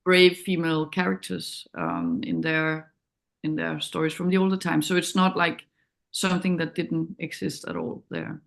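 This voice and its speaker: A woman's voice: sits low, animated, moderate pace, creaky. A woman from Denmark with a Danish accent, speaking as a film director in an interview, reflective and searching for words. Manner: hesitant, thoughtful, searching for words. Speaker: documentary director, interview guest.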